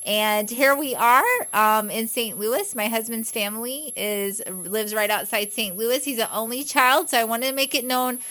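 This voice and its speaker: A woman originally from Guyana, talking as a guest on a television talk show. She tells her story in a bright, chatty, upbeat way, easy and conversational. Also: a little high, fairly even intonation, normal speed, hardly any pauses. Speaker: guest physician. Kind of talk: casual talk show interview.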